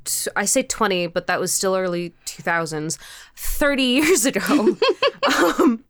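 A woman's voice: mid-range voice, sing-song, measured pace, fairly bright tone, no room sound. A woman chatting casually as a podcast guest, ranting in a sweary, exasperated way. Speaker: podcast interview guest, audio fiction creator. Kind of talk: casual animated interview chat.

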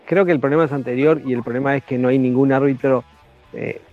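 A man giving measured, analytical commentary in a casual chat, with frequent hesitations. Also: medium pitch, fairly even intonation, faint music behind. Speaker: rugby podcast guest. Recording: dry, dull lo-fi sound.

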